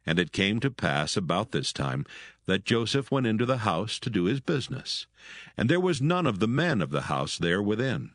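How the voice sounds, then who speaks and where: A man narrating dramatised scripture in a solemn, measured manner. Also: on the low side, sing-song, fairly bright tone, no echo, clean studio sound.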